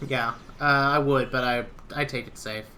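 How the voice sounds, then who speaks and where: A man's voice: medium pitch, steady tone, moderate pace, pushed and bright, a little hiss or hum. A man from Wales whose first language is Welsh, chatting and joking casually while playing a game live. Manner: chatty, joking, self-deprecating. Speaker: speedrunner.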